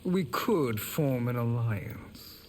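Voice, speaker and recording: Very slow, sly schemer. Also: male voice, medium pitch, lively intonation, slight background noise. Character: sly, calculating male schemer. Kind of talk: fighting game character banter.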